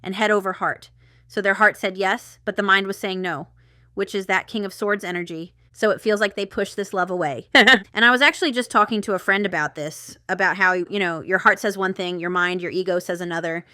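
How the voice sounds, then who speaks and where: A woman's voice: mid-range voice, steady tone, fairly quick, bright, forceful tone, no room sound, clean studio sound. A woman giving a casual, intuitive tarot reading straight to the viewer, chatty and thinking aloud with rambling asides. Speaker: tarot reader and channel host.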